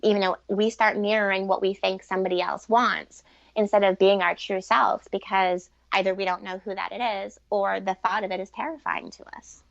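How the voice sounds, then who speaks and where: Female relationship expert, mid-pitched with noticeable vocal fry, earnest and fluent in interview; dry but dull sound. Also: level tone, moderate pace, no room sound. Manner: earnest, fluent, long explanations. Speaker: guest relationship expert and author. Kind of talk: conversational explanatory interview answers.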